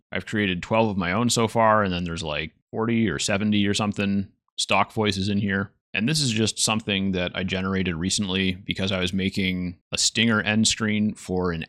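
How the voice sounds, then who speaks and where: A man hosting a software review, talking through it in a casual, matter-of-fact explanatory way. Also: low-pitched, no echo, clean studio sound. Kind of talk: casual tutorial narration.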